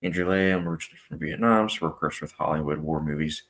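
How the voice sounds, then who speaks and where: A man's voice: very deep voice, fast talker, a bit bright. A young man hosting a casual live stream, reading out viewer comments and chatting loosely with plenty of fillers. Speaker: movie channel host.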